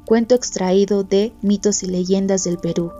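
Mellow woman storyteller, muffled, over music. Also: medium pitch, steady tone, unhurried. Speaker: storyteller reading a folk tale. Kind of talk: scripted storytelling narration. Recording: muffled audio with music underneath.

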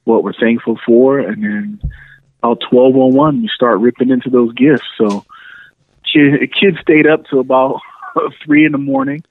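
A man's voice: mid-range voice, fairly even intonation, unhurried, dry recording. A man in his thirties from New York City, chatting as a podcast guest over the phone and laughing through his stories. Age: 30s. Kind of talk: casual phone interview. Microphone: phone line. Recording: tinny phone line.

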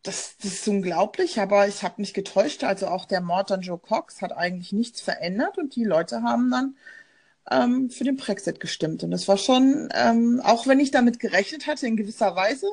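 A woman's voice: medium pitch, moderate pace, a little mellow. A German woman, a journalist and podcast co-host, talking in a thoughtful, personal way with frequent fillers over a remote call. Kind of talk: casual conversational podcast.

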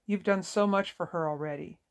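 A woman voicing a fragile, anxious adult daughter in an audiobook, with a hesitant, halting delivery. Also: mid-range voice, lightly acted. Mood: anxious, resolute. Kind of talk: audiobook character dialogue.

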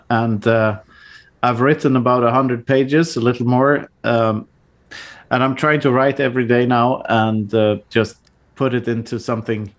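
A man talking as a guest author on a podcast, casual and halting, with many fillers and restarts and little laughs at his own jokes. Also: medium pitch.

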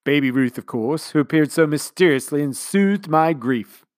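A man's voice: on the high side, expressive, moderate pace, dry recording, studio quality, pitched above the performer's own voice, natural voice. A man doing a pompous, rambling commander. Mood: grandiloquent. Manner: long-winded, pompous speechmaking. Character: grandiose, sentimental middle-aged male commander.

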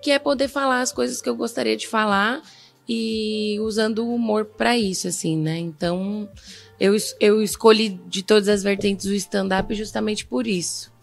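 A woman's voice: medium pitch, fairly even intonation, normal speed, vocal fry, soft background music. A Brazilian woman, a stand-up comedian talking casually and jokingly as a podcast guest. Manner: joking, reflective.

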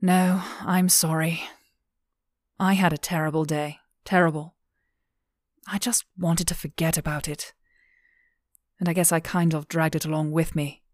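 A woman's voice: fairly low pitch, normal speed, no room sound, studio quality, natural voice. Woman playing a blunt young female officer. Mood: weary, apologetic.

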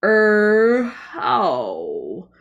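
A woman voicing a squat, nervous toad-like male creature who croaks and fawns over his master. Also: medium pitch, wildly swooping pitch, very slow, muffled recording, full character voice. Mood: nervous groveling.

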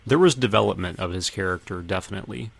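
A man's voice: deep voice, fast talker, vocal fry, slightly rough. A man hosting a casual film discussion with friends, thinking aloud in a tentative way. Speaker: podcast host.

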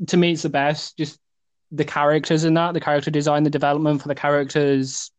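An eighteen-year-old man talking on a laid-back podcast. He is hesitant and keeps restarting his sentences. His voice sits somewhat high for a man, recorded close and dry. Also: little pitch movement, fast talker, no echo. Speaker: podcast co-host. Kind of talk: casual podcast chat.

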